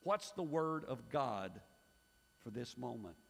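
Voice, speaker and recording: A middle-aged male pastor preaching a sermon, emphatic and swinging between loud pushes and hushed asides. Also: mid-range voice, animated, takes it slowly, vocal fry, slightly rough. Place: church sanctuary. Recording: long hall reverb.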